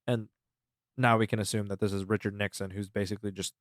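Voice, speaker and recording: A man's voice: on the low side, flat delivery, quick pace, dry recording, clean studio sound. A man co-hosting a podcast, recapping a story in a rambling, casual way and swearing freely. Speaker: podcast co-host.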